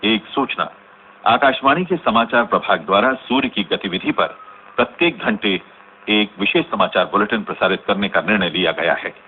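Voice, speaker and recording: A man's voice: on the low side, lively intonation, lightly acted. Tinny radio newsreader played by a man. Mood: formal, grave. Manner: formal, measured announcing. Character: formal male radio newsreader announcing a grave bulletin. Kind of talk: scripted Hindi news bulletin in radio drama. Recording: noisy, tinny narrow-band radio recording.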